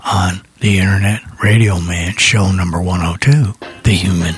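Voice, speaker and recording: A man with a raspy voice hosting a music radio show in a casual, unhurried, folksy, rambling way. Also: sits low. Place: small radio studio.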